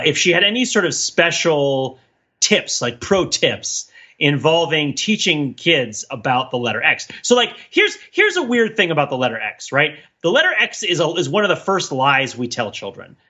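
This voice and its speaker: Guy podcast cohost, bright voice, rambly explainer, keeps going "right?", bit muffled audio. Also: medium pitch, sing-song, normal speed. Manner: rambling, digressive explaining, often checking with "right?". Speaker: podcast co-host. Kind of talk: casual discussion.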